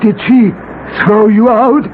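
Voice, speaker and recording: A sly, commanding dwarfish demon in a 1970s radio play. The voice is very high and slow, relishing each theatrical, tempting phrase. It comes through a thin, noisy old broadcast recording. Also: male voice, fairly even intonation, phone-quality sound. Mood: coaxing, prying. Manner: theatrical, commanding, cackling, archaic speech. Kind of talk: 1970s radio drama acting.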